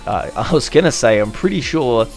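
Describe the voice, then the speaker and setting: A man's voice: medium pitch, expressive, normal speed, soft background music. A young Australian man with an Australian accent, chatting casually as a gaming YouTuber narrating over gameplay, correcting himself with little laughs.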